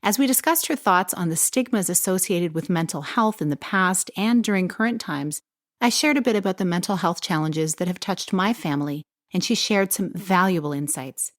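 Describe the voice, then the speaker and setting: A woman's voice: fairly low pitch, no echo, clean studio sound. A woman hosting a podcast and working as a health coach, reading a polished, upbeat intro before the interview. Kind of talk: scripted intro then interview.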